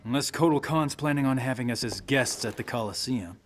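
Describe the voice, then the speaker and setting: A man's voice: medium pitch, a little fast, very noisy, lightly acted. A young man playing a cocky warrior in a video game, talking in a dry, sardonic, probing way. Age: young adult. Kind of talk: video game cutscene acting.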